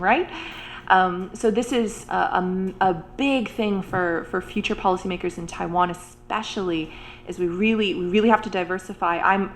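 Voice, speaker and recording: A woman's voice: on the low side, a little hiss or hum. A woman researcher speaking in an earnest roundtable discussion, with frequent fillers and restarts. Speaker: university researcher and supervisor.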